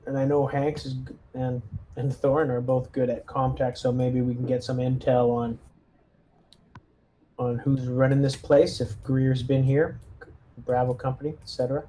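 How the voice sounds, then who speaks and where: A man's voice: medium pitch, little pitch movement, normal speed, dark tone, a little hiss or hum, poor audio. A man casually talking through plans as a player in a tabletop roleplaying game.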